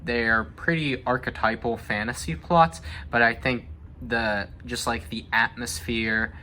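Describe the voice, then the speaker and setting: A man's voice: medium pitch, steady tone, measured pace, bright, forceful tone, a little hiss or hum. A young man chatting casually and giving his opinions, thinking aloud as he goes. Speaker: discussion host. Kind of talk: casual chat about video game movies.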